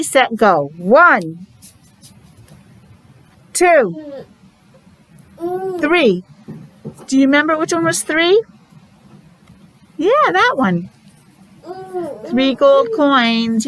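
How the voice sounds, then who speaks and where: A woman's voice: sits high, a bit bright, a little hiss or hum, low-quality recording. A woman preschool teacher leading a counting lesson for young children, encouraging and asking lots of questions. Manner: encouraging, asks questions with pauses.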